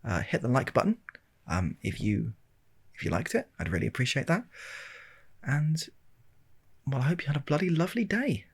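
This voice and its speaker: A British man telling a rambling comic ASMR story in a deadpan, hesitant way, with a husky, gravelly voice, close to the microphone. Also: fairly high pitch, moderate pace, pauses often. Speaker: video storyteller. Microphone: close handheld-recorder style microphone.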